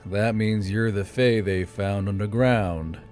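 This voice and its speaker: Weary male officer, acted by a narrator: gruff, low, clipped lines, fantasy war tale, faint music bed. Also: slow pace, a little mellow. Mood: guarded. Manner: terse, low-voiced. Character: gruff, weary, sardonic male military commander.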